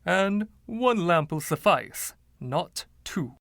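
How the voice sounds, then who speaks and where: A man's voice: high-pitched, very animated, slow pace, gravelly, fairly bright tone, no room sound, pitched above the performer's own voice, heavily acted. A man voicing the haughty, indignant ghost of a rich 1920s dandy, pompous and fussy, with old-fashioned slang, in a story reading.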